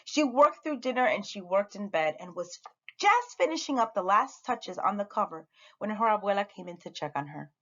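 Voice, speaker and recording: A woman reading a children's story aloud with dramatic, deliberate expression. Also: mid-range voice, expressive, fairly bright tone, highs cut off. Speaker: storybook reader at a school read-aloud.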